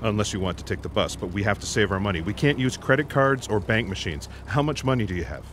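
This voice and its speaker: A man acting an unflappable, eccentric ex-spy in a sci-fi comedy audio drama, earnest and literal in a deadpan way. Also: lowish voice, fast talker, a little hiss or hum. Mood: calm deadpan. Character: unflappable, eccentric male ex-spy fixated on odd clues.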